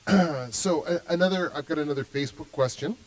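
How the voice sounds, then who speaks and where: Halting man asking interview questions. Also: a little high, rough recording. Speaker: livestream host.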